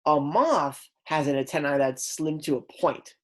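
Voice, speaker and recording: A woman's voice: deep voice, expressive, a little slow. A woman kindergarten teacher reading aloud a lesson for young children, explaining words simply and prompting her pupils.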